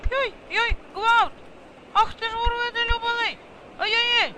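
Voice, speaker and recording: A woman voicing a shrill, excitable, scolding market woman in a cartoon, with a western Ukrainian accent. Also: normal speed. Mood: alarmed, outraged. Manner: wailing, exclaiming, cursing. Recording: very noisy outdoor street sound.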